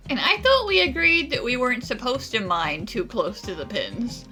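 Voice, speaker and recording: A woman's voice: fairly high pitch, very animated, normal speed, over music. A woman giving casual, joking, offhand commentary while she plays a video game. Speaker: gaming streamer.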